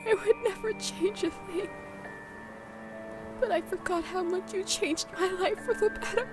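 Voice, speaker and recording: Very high voice, heartbroken heroine, emotional, voiced by a woman. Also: a little slow. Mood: tearful. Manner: emotional, pleading, tearful. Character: young woman, remorseful heroine. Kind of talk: fan audio drama acting. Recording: music underneath.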